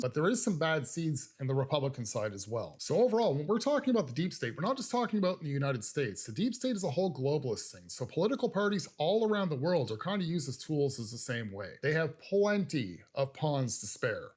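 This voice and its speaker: Man giving lively political commentary. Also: medium pitch. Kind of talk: opinionated political monologue to viewers.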